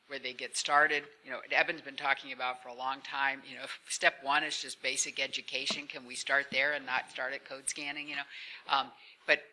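A woman's voice: a bass voice, flat delivery, fairly quick, sharp tone. A woman speaking unscripted as a conference panelist, earnest and emphatic, repeating key phrases, recorded in a conference room. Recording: noticeable room noise in conference hall.

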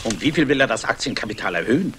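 An actor playing a courteous, guarded English aristocrat investor in a 1960s German crime radio play. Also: male voice, medium pitch, expressive, racing, fairly bright tone, a little hiss or hum, close to an everyday voice. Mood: confiding. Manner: courteous, urbane.

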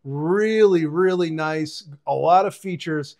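A man's voice: a little high, slow, darkish tone. A man in his forties giving an enthusiastic, casual, conversational product review and demonstration to camera. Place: home kitchen, indoors. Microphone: clip-on lapel mic.

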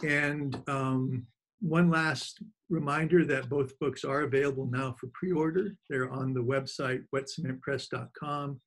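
Informal man hosting, halting delivery. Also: mid-range voice, steady tone, poor audio. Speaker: host of an online book reading, press editor. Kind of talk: informal event hosting, thanking and announcing. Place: online video call.

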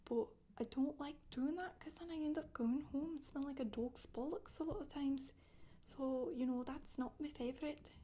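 A woman playing a chatty, boastful witch in a soft-spoken ASMR monologue, rambling and gossipy, often slipping into a whisper. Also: high-pitched, normal speed, lightly acted. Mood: weary, matter-of-fact. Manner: rambling, gossipy, casual asides. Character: Scottish witch woman confessing, matter-of-fact, chatty, boastful. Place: small indoor room, seen seated.

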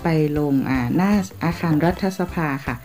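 A woman from Thailand narrating a casual travel vlog, polite and chatty, with soft endings on her sentences. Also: low voice, moderate pace. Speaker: travel vlogger. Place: outdoors in a city, partly on a tram.

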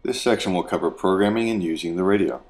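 Low-pitched man narrating an instructional video. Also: quick pace, a little mellow. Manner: plain, procedural, step-by-step.

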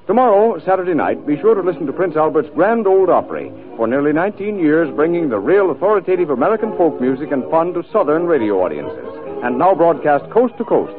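Lively male 1940s radio pitchman. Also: a little fast, hardly any pauses, music in the background. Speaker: commercial announcer. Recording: tinny vintage radio broadcast.

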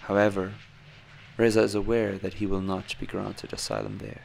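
Low, gravelly man narrating a story. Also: level tone, moderate pace, slight background noise. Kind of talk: scripted documentary story narration.

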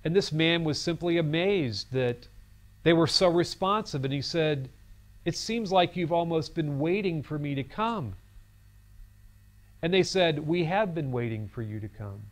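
A man's voice: on the high side, animated, measured pace. A man in his fifties giving a recorded seminary lecture in a studio classroom set, speaking earnestly and telling a story on a lapel mic. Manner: earnest, storytelling, gestures while talking.

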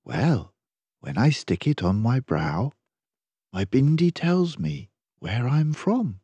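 A man voicing a gentle, patient mother explaining things to her young son in a children's storybook. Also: mid-range voice, big pitch swings, takes it slowly, husky, dry recording, full character voice. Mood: fond.

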